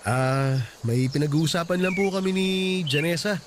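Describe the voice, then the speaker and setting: An actor playing a polite, nervous young Filipino suitor in a radio drama, deferential and hesitant. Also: male voice, a little high, normal speed, over a noisy crowd, close to an everyday voice. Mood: nervous, apologetic. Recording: loud music bed behind voice.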